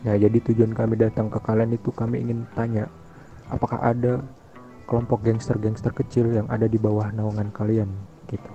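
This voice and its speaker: Low, even-toned man over music. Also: brisk. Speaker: player in a gangster roleplay. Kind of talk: in-character online roleplay negotiation. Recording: dull lo-fi voice chat, loud music.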